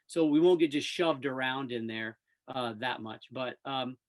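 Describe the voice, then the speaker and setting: A man's voice: medium pitch, unhurried. A man speaking as a radio play-by-play announcer in a casual, enthusiastic sports interview.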